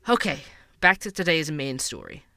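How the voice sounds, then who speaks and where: Investigative podcast host, fairly high voice, crisp bright tone, conversational, wry, fictional podcast. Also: male voice, huge pitch swings, normal speed, dry recording, soft background music, heavily acted. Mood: wry, candid. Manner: conversational, wry, hesitant asides. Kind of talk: fictional podcast narration and dialogue.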